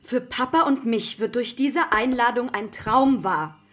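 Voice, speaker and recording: An actress playing a loving but firm, worried mother in a children's radio drama, expressive, moving between stern and tender. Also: on the high side, measured pace. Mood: stern, alarmed.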